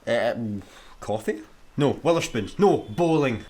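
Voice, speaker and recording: Excitable Scottish slacker, swooping pitch. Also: male voice, unhurried. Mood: grumbling, flippant. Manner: excitable, flippant, grumbling. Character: scruffy, childlike, easily excited slacker spaceman. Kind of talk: sci-fi comedy radio play acting.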